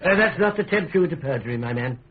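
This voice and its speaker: Fussy archbishop, clouded voice. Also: male voice, a little high, expressive, slightly rough. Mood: flustered. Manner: halting, ceremonial. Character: fussy, formal archbishop administering an oath. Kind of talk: radio drama acting. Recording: narrow phone-like radio recording.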